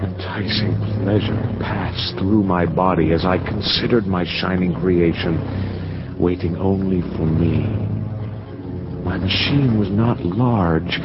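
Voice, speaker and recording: A man's voice: sits low, slow, very noisy. An actor playing a privileged young dreamer who tells his story in intense, ornate radio drama narration. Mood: brooding, yearning. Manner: ornate, intense, poetic delivery. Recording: dull lo-fi audio under loud music.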